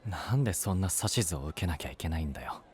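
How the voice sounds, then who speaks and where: An actor playing a prickly but kind-hearted young man in an anime voice drama, speaking in blunt, rough casual language. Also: sits low, expressive, quick pace, darkish tone. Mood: guarded, sulky. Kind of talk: Japanese anime voice drama acting.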